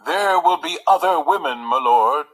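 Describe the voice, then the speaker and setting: An older royal valet from a storybook, played by a man reading aloud. He is a loyal, frank adviser with a husky voice and lively intonation. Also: medium pitch, moderate pace, poor audio. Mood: stiff, consoling. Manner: formal and stiff, turning blunt and casual.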